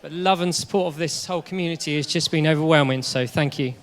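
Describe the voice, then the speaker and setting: A man's voice: fairly high pitch, fast, some chatter behind. A man giving a sincere, grateful testimony at his baptism. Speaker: church member being baptized. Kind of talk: short personal testimony.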